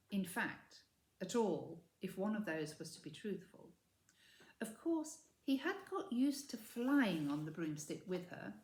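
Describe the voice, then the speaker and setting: Slow-paced woman reading in an echoey room. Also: medium pitch, a little mellow. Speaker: story reader. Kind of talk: expressive children's storybook narration.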